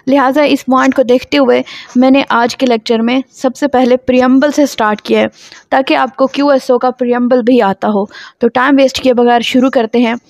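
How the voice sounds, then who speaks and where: A woman's voice: high voice, fairly even intonation, brisk, a little mellow, dry recording. A woman with a Pakistani accent giving a law lecture in a didactic way, explaining clauses and posing questions to her students. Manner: didactic, poses questions and answers them. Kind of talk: scripted-outline lecture explaining a statute, reading clauses aloud.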